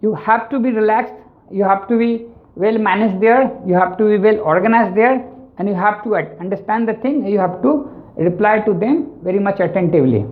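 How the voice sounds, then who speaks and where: A man's voice: sits high, normal speed. Man, Indian English accent, teacher explaining exam tips, keeps saying "right?", tinny lo-fi recording. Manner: repetitive, keeps adding "right?" and "actually". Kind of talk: spoken lesson on exam tips. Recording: tinny, phone-like sound.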